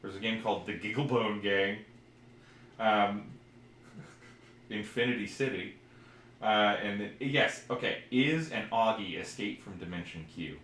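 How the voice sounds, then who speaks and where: A man with a very deep voice talks casually as a podcast co-host about old games. He rambles and feels around for details. The room is echoey, with some background noise. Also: a little slow, long pauses, a bit bright. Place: indoor room, recorded live on stream. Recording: roomy echo, clear background noise.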